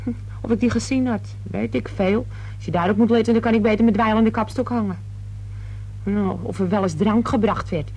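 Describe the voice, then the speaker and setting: A young working-class cleaning girl, gabby and indignant, with a husky edge to her mid-pitched voice. She chatters in colloquial radio-drama style. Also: steady tone, brisk, dark tone. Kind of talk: radio play acting.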